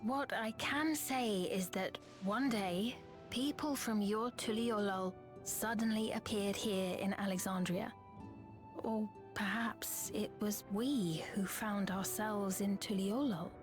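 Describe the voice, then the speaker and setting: A woman's voice: mid-range voice, slow pace, natural voice. A woman playing a gracious young queen in a video game, earnest and formal with courtly diction. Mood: earnest, measured. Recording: game dialogue with music underneath.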